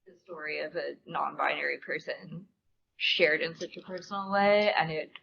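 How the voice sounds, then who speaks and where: A woman's voice: sits low, fairly even intonation, normal speed, a bit bright. A woman answering audience questions casually as a theater performer on a panel, chatty and informal, often saying "like". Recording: dull room recording with clear background noise.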